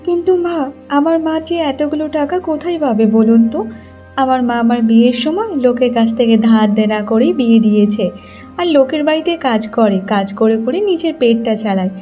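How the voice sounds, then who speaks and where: A young cartoon bride played by a woman with a very high voice, meek and plaintive. She speaks submissively and pleadingly, with music underneath. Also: a little fast, mellow, natural voice. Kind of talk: cartoon moral story acting.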